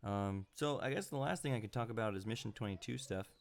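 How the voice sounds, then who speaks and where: A congested man with a deep, gravelly voice hosts a loose live stream. He rambles through his chat with plenty of fillers and little chuckles. He speaks into a computer mic with the gain turned up. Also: fast talker. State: sounds congested. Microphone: home computer mic with high gain.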